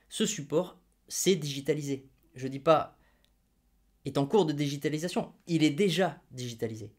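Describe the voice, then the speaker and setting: A man's voice: on the high side, darkish tone. A man hosting his own video, explaining money with ironic jabs and rhetorical questions put straight to the viewer. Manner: ironic and mocking, then patiently didactic. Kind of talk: opinion explainer to camera.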